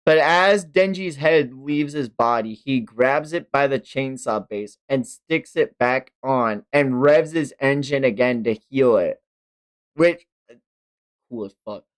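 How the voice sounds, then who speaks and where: A man's voice: mid-range voice, takes it slowly, clean tone. A man streaming a casual manga read-along, narrating with amused, sweary commentary. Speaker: streamer reading manga aloud.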